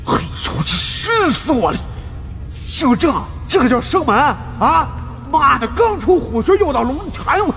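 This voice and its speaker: A man's voice: very high-pitched, very animated, unhurried, fairly bright tone, soft background music, voice pushed up, full character voice. A man voicing a whiny, greedy fat man who complains and wheedles in an audiobook. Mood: whiny disgust.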